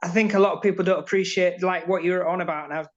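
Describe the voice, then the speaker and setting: A man's voice: high voice, steady tone, fast talker. A man, a builder, talking earnestly and rambling a bit about his frustrations on a webinar video call. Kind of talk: casual chat on a webinar call. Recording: muffled video call audio.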